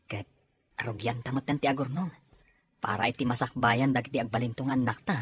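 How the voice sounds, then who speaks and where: A man playing a devoted, patient working husband in a radio drama, with a husky voice. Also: mid-range voice, fairly even intonation, a little fast, fairly bright tone, a little hiss or hum, natural voice. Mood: tender.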